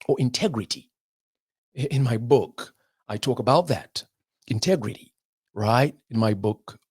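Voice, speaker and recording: A man's voice: mid-range voice, darkish tone, no room sound, studio quality. Emphatic man giving a motivational talk. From Zimbabwe. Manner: emphatic, with repeated phrases and drawn-out pauses. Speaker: motivational speaker.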